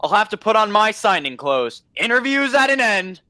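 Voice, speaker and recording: A man's voice: sits high, sing-song, moderate pace, sharp tone, clear, resonant tone, no room sound, full character voice. A young man playing a pompous, deluded fellow who believes he is President, in a radio play. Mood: grand, excited.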